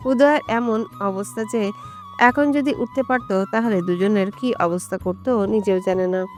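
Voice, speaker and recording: A woman's voice: mid-range voice, normal speed, faint music behind. A woman narrating a romantic audio story from a script.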